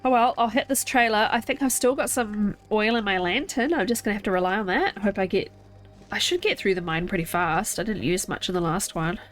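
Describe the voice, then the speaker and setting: A young woman with a blocked, nasal-sounding voice talks her way through a survival game, thinking aloud and chatting with her viewers. Her pitch sits in a middling range, and soft music runs faintly underneath. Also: brisk. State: stuffy nose, congested. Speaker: game streamer. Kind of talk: casual live gameplay chat. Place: at home.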